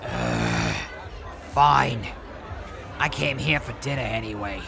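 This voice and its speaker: A man's voice: fairly low pitch, takes it slowly, gravelly, a crowd in the background, noisy background, full character voice. A man voicing a bitter, resentful man who answers curtly and grudgingly in an audio drama. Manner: curt, flat and grudging.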